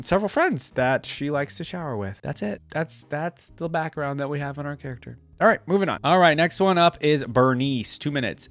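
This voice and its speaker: A man's voice: mid-range voice, clean tone, dry recording. A young man giving casual, chatty, amused reaction commentary. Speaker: reaction video host.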